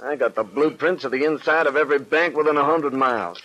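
A man's voice: medium pitch, fast, muffled recording, natural voice. An actor playing a cocky, ruthless gangster boss in 1940s radio style, boasting in tough-guy slang. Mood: menacing, boastful. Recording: noisy vintage 1940s radio recording.

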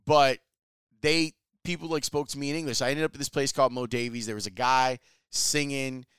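A man's voice: medium pitch, fairly even intonation, a little fast, fairly bright tone, clean tone, no room sound, studio quality. A man casually telling a travel story in a chatty, enthusiastic way, using slang. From Chicago, US. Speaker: sports reporter.

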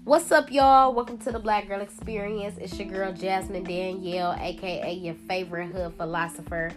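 A woman's voice: medium pitch, steady tone, moderate pace, music in the background. A young woman with a congested voice from a cold, hosting a solo podcast in a blunt, profane, casual way. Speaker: podcast host.

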